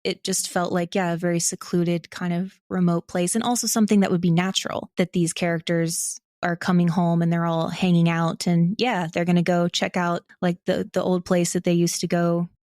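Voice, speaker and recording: A woman's voice: on the low side, level tone, moderate pace, hardly any pauses, a little mellow, no echo, clean studio sound. A young American woman talking casually and reflectively as an interview guest, rambling a little. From small town in Ohio, United States. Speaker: podcast guest, audio drama writer and voice actor.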